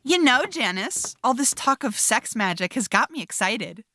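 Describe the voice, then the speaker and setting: A woman's voice: a little high, sing-song, moderate pace, pushed and bright, a little hiss or hum, exaggerated voice. A woman playing a brash, sarcastic and provocative young witch in an audio drama. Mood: brazen teasing. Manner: sarcastic, taunting.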